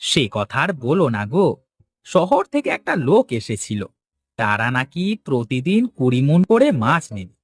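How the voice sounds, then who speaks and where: A man voicing a hurried, chatty fish market worker in a village cartoon. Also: a little high, wildly swooping pitch, slightly rough, heavily acted. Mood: harried. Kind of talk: Bengali cartoon dialogue acting.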